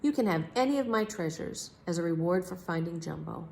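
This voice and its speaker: Woman voicing an expressive, wide-eyed storybook king. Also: fairly low pitch, a little fast, gravelly. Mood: earnest.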